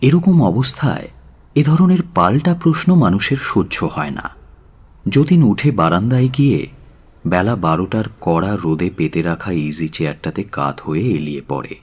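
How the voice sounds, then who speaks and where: A man narrating a literary audio story in a measured, solemn and dramatic way. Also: deep voice, expressive, a little mellow, breathy edge. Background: faint music.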